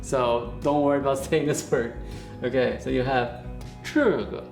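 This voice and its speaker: A man teaching a beginner Mandarin lesson at a whiteboard, upbeat and encouraging, often checking in with "okay?" and slowly repeating words for learners. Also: mid-range voice, fairly even intonation, vocal fry, a little mellow, soft background music.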